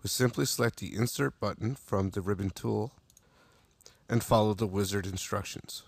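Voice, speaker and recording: Male tutorial narrator, low and gravelly, plain step-by-step delivery, dry booth sound. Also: moderate pace, dry recording. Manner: steady step-by-step instruction with small restarts. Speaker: software demo narrator.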